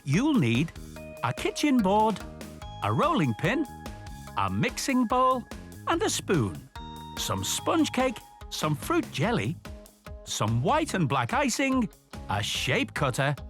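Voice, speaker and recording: A man telling stories and presenting for young children in an expressive, clearly enunciated way. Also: high voice.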